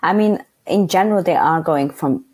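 A young woman from Afghanistan with a low, husky voice talks candidly in a relaxed interview. She is outspoken and unabashed, and she often hesitates with fillers while she looks for the right word. Her tone is conversational. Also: moderate pace. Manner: frank and outspoken, searches for words with many fillers. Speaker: interview guest.

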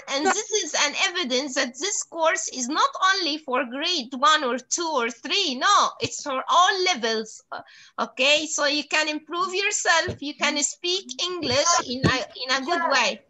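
Very high, bright-voiced female teacher. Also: animated, unhurried, rough recording. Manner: encouraging, frequent praise. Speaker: English teacher. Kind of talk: online class with children. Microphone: computer mic on video call.

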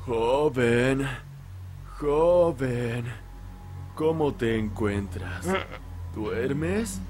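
A man's voice: mid-range voice, expressive, a little hiss or hum. A man voicing a creepy middle-aged monster woman who calls out coaxingly and insistently, with a Latin American accent, in a Spanish horror anime dub. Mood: sinister insistence. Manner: slow, drawn-out, insistent calling. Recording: loud music over the voice.

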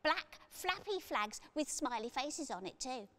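A woman voicing an excitable, cheeky male moon hare in a children's storybook, emphatic and childlike. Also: high voice, measured pace, voice pushed up, heavily acted. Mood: insistent.

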